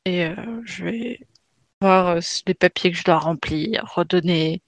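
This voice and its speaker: A woman's voice: lowish voice, a little slow, no echo. Woman politely roleplaying, dry sound. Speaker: player. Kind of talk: in-character roleplay.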